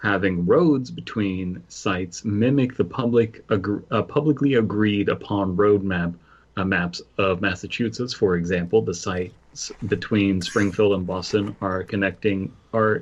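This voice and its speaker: A man's voice: fairly low pitch, moderate pace, breathy edge, muffled recording. A man co-hosting a podcast, switching between casual comedic chat and reading aloud. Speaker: podcast co-host.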